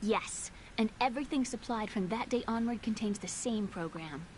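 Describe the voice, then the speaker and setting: A woman's voice: fairly high pitch, normal speed, darkish tone, natural voice. An actress voicing an earnest, lonely young female computer expert in a video game, explaining things carefully. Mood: grave, explanatory. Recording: noisy game audio capture.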